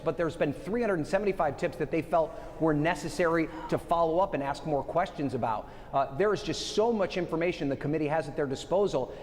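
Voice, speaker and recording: A man reporting live for a news broadcast, explaining things in a conversational, slightly halting way. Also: fairly high pitch, a little mellow, big-room sound.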